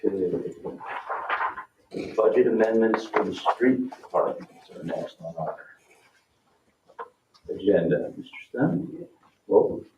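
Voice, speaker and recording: A man's voice: lowish voice, slow, pauses often, warm tone. A man chairing a formal council meeting, moving through procedure in short, businesslike phrases. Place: town council meeting room. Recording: echoey room, distant mic, dull, noisy.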